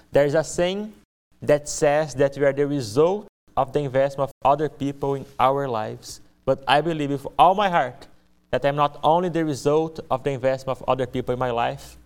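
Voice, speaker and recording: A young man with a Brazilian accent and Portuguese as his first language presents his missionary work to a church. His voice is smooth and clean at a middle pitch. He speaks deliberately, restating key phrases for emphasis. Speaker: missionary giving a ministry presentation. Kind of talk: church testimony speech to a congregation.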